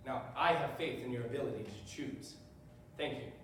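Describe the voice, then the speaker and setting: A man's voice: mid-range voice, close to an everyday voice. A man playing an earnest defense attorney in a stage play, making an oratorical, persuasive speech. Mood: impassioned. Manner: oratorical, deliberate emphasis and repetition. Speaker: stage actor. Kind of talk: readers theater courtroom speech. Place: large echoey room, live stage performance. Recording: far off, very echoey hall, faint noise.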